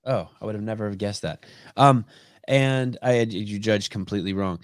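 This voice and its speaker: A man hosting a casual interview podcast, a native Armenian speaker, talking in a halting way with repeated phrases and restarts. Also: lowish voice, no room sound, studio quality. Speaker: podcast host.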